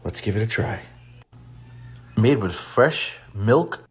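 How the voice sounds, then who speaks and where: Guy reviewing food on video, lowish voice, casual. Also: expressive, takes it slowly. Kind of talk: casual on-camera taste test review.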